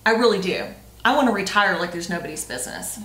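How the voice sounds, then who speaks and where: Roomy echo, woman, forties, mid-pitched, casual co-host chat, frank, emphatic. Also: animated, fast, sharp tone. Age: 40s. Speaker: vlog co-host reading questions. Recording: roomy, echoey sound.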